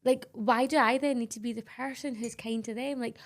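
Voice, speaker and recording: A woman's voice: fairly high pitch, steady tone, fairly quick. A Scottish woman hosting a podcast, talking candidly and emphatically in casual interview chat. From Scotland. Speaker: podcast host.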